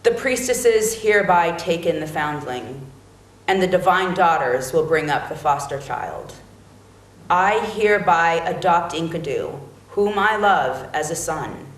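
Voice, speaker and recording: A woman's voice: fairly low pitch, expressive, a little slow. A woman playing a solemn mother queen in a dramatic epic reading, with an incantatory, declamatory delivery. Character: solemn mother queen praying for her son. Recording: roomy, echoing recording.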